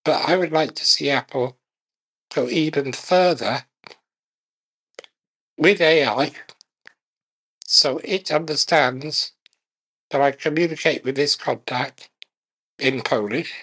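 A man speaking as a guest in an interview, measured and unhurried, speaking in short phrases with pauses. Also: on the high side, pushed and bright, husky, rough recording. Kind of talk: conversational interview.

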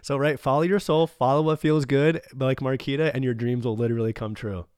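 A man's voice: mid-range voice, steady tone, fairly quick, a little mellow, clear, resonant tone, no echo, clean studio sound. A man hosting a podcast, talking in an upbeat, affirming way with lots of "like" and "you know". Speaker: podcast host. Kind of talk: casual interview chat.

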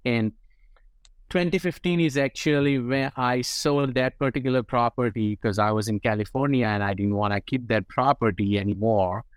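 A man's voice: medium pitch, normal speed, clear, resonant tone, dry recording. A man from India with an Indian accent, a guest investor explaining his path in a conversational interview, often tagging sentences with "right?". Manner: explanatory, frequent "right?" tags.

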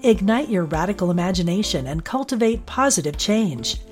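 A woman announcer giving a scripted promotional read in a smooth, measured way. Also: mid-range voice, expressive, darkish tone. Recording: faint music behind.

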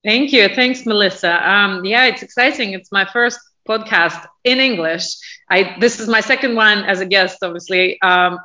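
A woman in her forties from Russia with a Russian accent, answering interview questions in English with many pauses and fillers. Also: mid-range voice, sharp tone, highs cut off. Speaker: guest, business owner.

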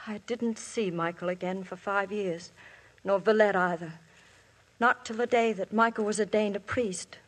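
A woman's voice: mid-range voice, fairly even intonation, moderate pace, lightly acted, low-quality recording. A woman playing an anxious young wife confessing. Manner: earnest, quietly desperate. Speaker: narrator of her story to police. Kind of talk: 1950s radio drama acting. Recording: old radio broadcast, faint hiss.

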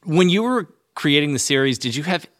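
Male interview host, middle-aged or older. Bright, forward voice, measured and articulate, in a dry acoustic. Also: on the high side, lively intonation, no room sound. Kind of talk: prepared conversational interview questions.

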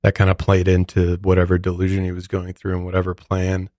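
A man's voice: low voice, completely flat delivery, a little fast, warm tone, no room sound, studio quality. An American man co-hosting a true crime podcast, giving his opinions in a casual, conversational way. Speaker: podcast co-host.

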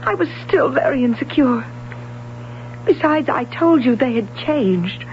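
A woman's voice: high-pitched, animated, unhurried. An actress playing a reserved, insecure young woman, courteous but guarded in a radio drama. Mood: pained, confiding. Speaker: actor. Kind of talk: American radio drama acting. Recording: muffled, hissy vintage radio broadcast.

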